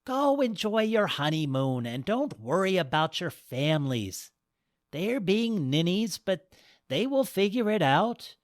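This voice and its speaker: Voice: medium pitch, very animated, unhurried, dry recording, pitched above the performer's own voice. A storyteller voicing an elderly, tipsy goddess who scolds and teases with dry humor. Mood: teasing. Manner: scolding, wry.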